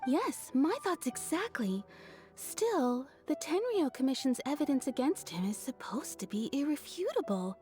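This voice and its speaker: A woman playing a lively, friendly young shopkeeper who tells stories expressively in a video game. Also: sits high, measured pace, faint music behind. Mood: earnest. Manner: animated, expressive storytelling.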